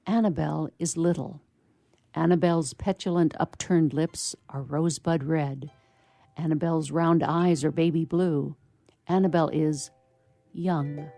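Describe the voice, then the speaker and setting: A woman's voice: low-pitched, steady tone, measured pace, vocal fry, mellow, dry recording, faint music behind. A woman reading scripted narration for a radio play, telling stage action as the narrator.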